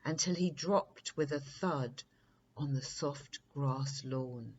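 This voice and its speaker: A woman's voice: extremely low pitch, slow pace, darkish tone, slight background noise. A woman storyteller narrating a children's bedtime story, on a dull recording.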